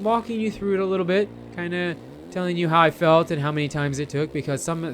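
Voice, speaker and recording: A man with a somewhat high voice talks viewers through gameplay in a loose, chatty way. His commentary rambles informally, as if talking straight to friends watching. A faint layer of noise sits behind him. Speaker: gamer narrating gameplay.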